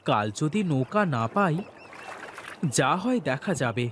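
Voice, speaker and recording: A man voicing a humble, excitable village boatman in a fairy-tale cartoon, earnest and expressive. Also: a little high, a little slow, slight background noise. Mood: anxious.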